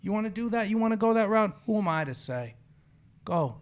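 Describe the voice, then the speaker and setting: A man's voice: sits high, animated, a little fast, smooth. A man in his forties hosting a sports podcast, chatting casually in an opinionated, slangy, storytelling way. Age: 40s. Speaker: podcast host.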